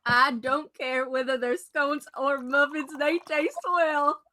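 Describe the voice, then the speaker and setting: An actress playing a cheeky, eager child in a radio play. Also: female voice, high voice, fairly even intonation, slow pace, bright, forceful tone, heavily acted.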